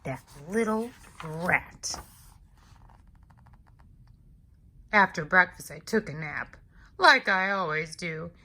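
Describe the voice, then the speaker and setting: Lady reading as big grumpy hungry giant guy from a kids book, bright voice, takes his time, swoops around. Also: fairly low pitch, lively intonation, slight background noise, voice pushed down. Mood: aggrieved, sly. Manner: exclamatory, indignant, deliberate storyteller. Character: big hungry aggrieved male giant telling his side. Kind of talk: expressive children's storybook read-aloud.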